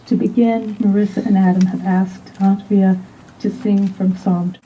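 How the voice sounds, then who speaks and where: Lady officiating a garden wedding, lyrical and measured, sounds far off with a little hiss outside. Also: medium pitch, steady tone, lo-fi sound. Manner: lyrical, measured, warm toward the couple. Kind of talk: ceremonial address, poetic readings. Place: outdoor garden. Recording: distant outdoor recording with faint noise.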